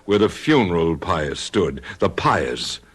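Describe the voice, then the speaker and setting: An actor playing a calm, inquisitive doctor in a radio drama, speaking in a measured, reassuring way. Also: male voice, deep voice, breathy edge, exaggerated voice. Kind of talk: 1970s radio drama acting.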